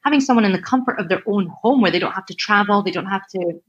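A woman's voice: mid-range voice, quick pace, fairly bright tone. A Scottish woman chatting casually and filling her talk with "like" and "right?". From Scotland. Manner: chatty, many fillers like "like" and "right?". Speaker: personal trainer. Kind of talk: casual chat. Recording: dull lo-fi sound.

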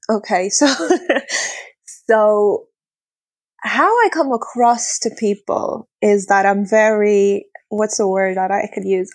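A woman whose first language is Arabic, speaking earnestly as an interview guest, sometimes pausing to search for words. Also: mid-range voice, no echo. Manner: earnest, searching for words, laughs between thoughts. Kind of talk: conversational interview.

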